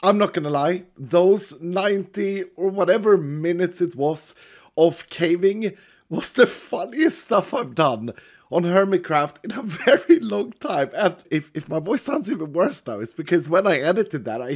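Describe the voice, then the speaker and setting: Laughy guy gaming w friends on voice chat, kinda high, bouncy voice. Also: expressive, moderate pace. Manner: giggly, jokey, laughs through lines. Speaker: Minecraft server player and video host.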